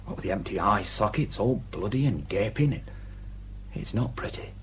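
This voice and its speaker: A man's voice: lowish voice, lively intonation, normal speed, slight background noise, natural voice. Man playing a Northern English village police sergeant. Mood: grim, hushed. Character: deferential local village police sergeant, plain-spoken. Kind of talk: naturalistic radio drama acting.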